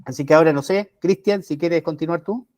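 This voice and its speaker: Higher-voiced Chilean man, dry sound. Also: darkish tone, no room sound. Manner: halting, restarts words. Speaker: podcast co-host. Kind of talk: casual chat.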